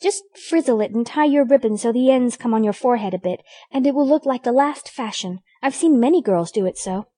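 A woman voicing a vain young girl in a storybook, affected and knowing, with a soft husky tone. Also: sits high, big pitch swings, a little slow, hardly any pauses, no room sound, pitched above the performer's own voice. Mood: consoling, knowing. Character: superior, vain little girl, youngest sister.

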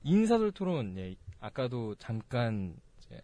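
A man's voice: mid-range voice, very animated, a little slow, dark tone, no echo. A young man hosting a casual comedy talk show, teasing his guests in a playful way. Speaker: host.

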